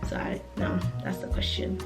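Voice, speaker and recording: A woman in her twenties from Africa, chatting casually to camera as a vlogger, relaxed and full of fillers and restarts, with music behind her. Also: normal speed. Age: 20s.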